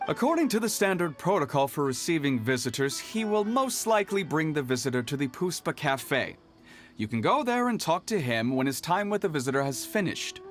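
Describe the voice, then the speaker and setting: A helpful, businesslike clerk, explaining at length in a fairly high, lively voice. Faint game music sits behind him. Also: male voice, a little fast. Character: helpful, talkative male academy clerk.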